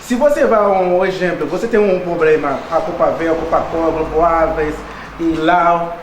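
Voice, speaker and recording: High-voiced man explaining earnestly. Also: moderate pace, reverberant, a little hiss or hum, poor audio. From Haiti. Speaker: interviewee. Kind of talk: interview answers.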